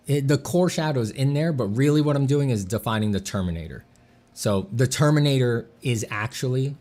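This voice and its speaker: A man streaming while he draws, chatting casually with dry deadpan jokes and a slight slur from a sore mouth. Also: fairly low pitch. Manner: dry deadpan joking, relaxed and rambling. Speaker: artist streaming a drawing session. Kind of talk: casual livestream chat.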